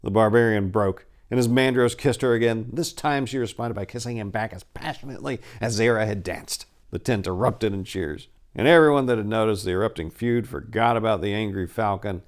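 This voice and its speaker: A man's voice: on the low side, a little fast, few pauses. A man narrating a story as host, in a dramatic, theatrical and emphatic storytelling style.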